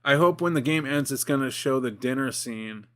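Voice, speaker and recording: A man in his forties chatting casually as a streamer, rambling and excitable, with lots of fillers and restarts. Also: mid-range voice, moderate pace. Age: 40s. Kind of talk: casual gaming chat.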